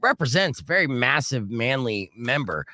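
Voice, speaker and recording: A man with a deep voice hosting a live radio show, joking and poking fun at himself. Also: moderate pace, dry recording, studio quality. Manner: joking, self-mocking, lively. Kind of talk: casual radio banter. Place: radio studio. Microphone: studio microphone.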